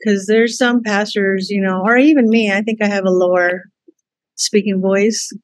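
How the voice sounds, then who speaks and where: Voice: mid-range voice, fairly even intonation, moderate pace, no echo. A co-host in a casual Bible study talk, earnest and encouraging, rambling a little.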